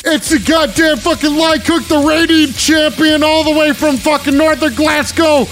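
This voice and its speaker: A man's voice: very high-pitched, steady tone, normal speed, fairly bright tone, faint music behind. A man voicing a manic, swearing wrestling radio host who announces fighters with bluster. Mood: hyped. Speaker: show host.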